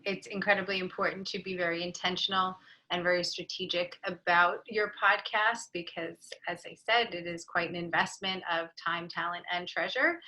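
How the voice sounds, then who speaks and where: A woman giving conversational expert advice on a panel, in a measured, articulate, instructive manner. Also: lowish voice, pushed and bright, low-quality recording. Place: online video call.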